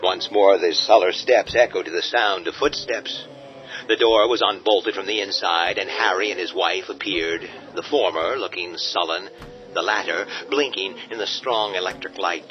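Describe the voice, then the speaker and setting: A man giving measured, dramatic scripted narration of a horror radio drama. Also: sits low. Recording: dull lo-fi audio with faint music.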